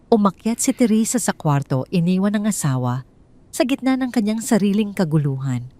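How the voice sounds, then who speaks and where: A woman's voice: fairly low pitch, a little mellow. A woman with a Filipino accent narrating a scripted dramatic story.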